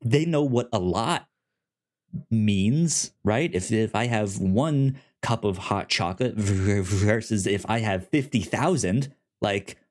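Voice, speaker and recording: A man's voice: fairly low pitch, slow, darkish tone. A man co-hosting a podcast in casual chat, rambling with frequent restarts and stammers. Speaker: podcast co-host.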